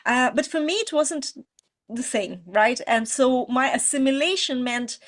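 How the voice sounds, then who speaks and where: A woman's voice: high voice, animated, measured pace. A middle-aged woman, a coach giving an earnest, informal astrology teaching talk with frequent restarts. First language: Russian. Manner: earnest, explains with many restarts and "you know"s. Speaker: prosperity coach.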